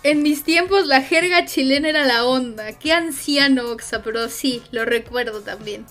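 A woman's voice: sits high, normal speed, fairly bright tone, soft background music. A woman with a Mexican accent chatting casually on a stream, rambling and hesitant with lots of fillers.

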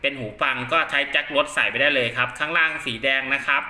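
A man's voice: medium pitch, steady tone, fast talker, pushed and bright, echoey room. A man casually demonstrating equipment step by step, chatty and polite, like a seller testing a product for a buyer.

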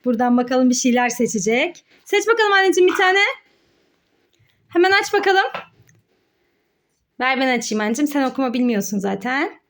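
A woman hosting a children's play challenge as a mother, giving excited, encouraging play-along commentary in a sing-song way with repeated words. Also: high-pitched, fairly quick, long pauses, slight background noise.

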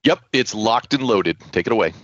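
A man's voice: lowish voice, lively intonation, normal speed, fairly bright tone, dry recording, dull audio. A man hosting an online community call, thanking and introducing speakers. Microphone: computer mic on a video call.

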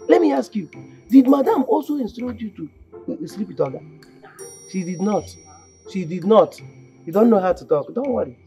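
A woman's voice: mid-range voice, expressive, normal speed, long pauses, dark tone, faint music behind, natural voice. A woman with a Nigerian accent playing a scheming, lecherous male house steward who bullies and mocks the maid in a comedy skit. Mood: taunting. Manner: mocking, bullying, laughing.

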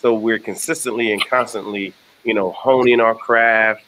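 Casting: middle-aged American male host, lowish pitch, bright forceful tone, stammers. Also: fairly even intonation, moderate pace, low-quality recording. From United States. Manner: earnest, stammering restarts. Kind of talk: casual video-call interview. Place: indoor room. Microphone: video call.

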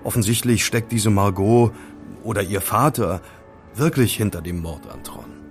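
An actor playing a casual amateur sleuth who recounts intrigue in a wry, conversational way in an audio drama. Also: male voice, fairly low pitch, moderate pace, soft background music, close to an everyday voice. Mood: puzzled, musing. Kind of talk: German audio drama dialogue.

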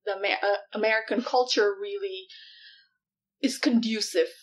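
A woman's voice: mid-range voice, fairly even intonation, normal speed. Woman from malaysia in her 30s, ceo being interviewed, lots of ums, laughs easily. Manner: thoughtful, with many fillers and laughs. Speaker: startup CEO. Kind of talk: in-person interview.

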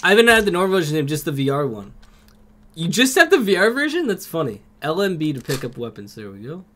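A young man with a cold, streaming a game from home on a headset and greeting his chat by name with excited outbursts. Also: on the high side, fast, a little hiss or hum. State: has a cold.

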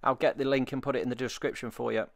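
A man narrating a product review as a relaxed, matter-of-fact voice-over. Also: medium pitch, fast, creaky, slight background noise.

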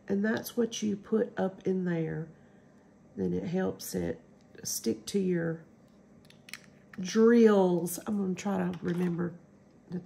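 A woman's voice: mid-range voice, vocal fry, warm tone, slight background noise. A middle-aged woman with a stuffy cold, chatting casually through a craft demo at home and rambling with lots of ums. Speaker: crafting channel host.

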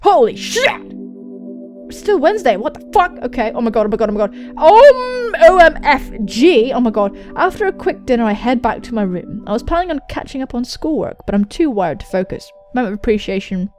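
Young woman doing lets play commentary, really animated voice, excitable and sweary, game music behind. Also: fairly high pitch, fairly quick. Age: young adult. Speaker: gaming video host. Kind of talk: let's play commentary, reading visual novel aloud. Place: indoor room at home, on webcam. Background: game soundtrack music.

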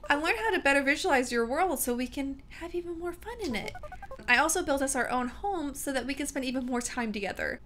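A woman's voice: high voice, animated, a little fast, a bit bright, soft background music. A woman voicing a lonely male AI companion, sweetly welcoming and then pleading and desperate. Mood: eerily sweet. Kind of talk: reading game dialogue aloud.

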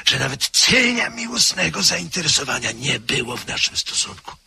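A man's voice: a little high, very animated, normal speed, creaky, sharp tone, a little hiss or hum, full character voice. An actor playing a nervous, earnest man in a radio play, pleading and agitated.

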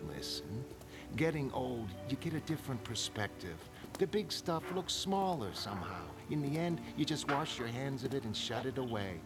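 A man voicing an elderly, chatty, wistful mother in a comic-book drama, with a folksy, fussing, drawn-out manner. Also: medium pitch, very animated. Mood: weary, resigned. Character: elderly mother, wistful, chatty, forgiving former glamour woman. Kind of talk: dramatic comic-book narration in character voices. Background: music.

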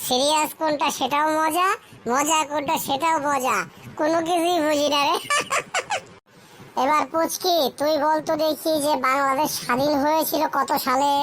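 A woman's voice: high voice, moderate pace, noisy background, lightly acted. A woman voicing a cheerful, teasing cartoon child who quizzes friends. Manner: lively, teasing, mixes in English phrases.

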